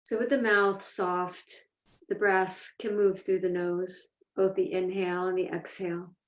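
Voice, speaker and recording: A woman teaching a guided meditation, speaking in a measured, deliberate way with pauses between phrases. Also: on the low side, little pitch movement. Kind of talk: guided meditation, slow instruction. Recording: echoey room, distant mic, dull sound.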